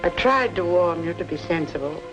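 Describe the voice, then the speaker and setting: A woman's voice: lowish voice, animated, a little fast, music in the background, close to an everyday voice, low-quality recording. A woman playing a concerned, motherly elderly aunt in an old-time radio noir drama. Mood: resigned, tender. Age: older.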